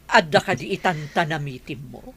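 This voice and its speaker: A woman's voice: low voice, a little fast, fairly bright tone, breathy edge. A woman voicing a wealthy businesswoman mother of a grown son in a dramatised story. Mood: questioning, consenting.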